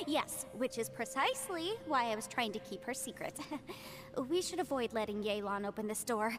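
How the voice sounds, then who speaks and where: Earnest, polite young female legal advisor for a game, actress: high-pitched, articulate delivery over music. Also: lively intonation, moderate pace, lightly acted. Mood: amiable.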